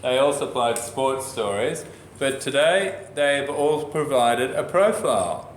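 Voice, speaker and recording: Male moderator, mid pitch, halting and chatty, roomy sound. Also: lively intonation, slight background noise. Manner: chatty, halting, with many fillers. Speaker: organizer of a youth reporter program. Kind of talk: casual moderating.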